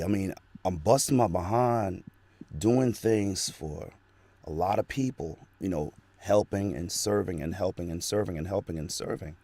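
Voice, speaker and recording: A man's voice: sits low, warm tone, no echo. A man who works as a voice actor, talking earnestly and at length as a guest in a casual interview, recorded in a small home studio. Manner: earnest, rambling storytelling.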